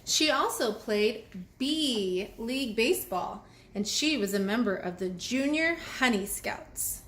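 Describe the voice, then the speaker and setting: A woman reads a picture book to young children in a fairly high, lively voice. She takes her time, often turning to her listeners with questions and small teaching comments. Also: measured pace, a little hiss or hum. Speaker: children's read-aloud host and teacher. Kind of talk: picture book read aloud with phonics asides.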